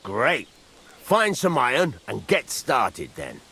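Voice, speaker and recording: An actor playing a gruff middle-aged village blacksmith in a video game, husky, blunt and rustic, giving plain instruction. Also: male voice, mid-range voice, huge pitch swings, takes it slowly, pushed and bright, full character voice. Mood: instructive. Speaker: master blacksmith. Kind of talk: video game character acting.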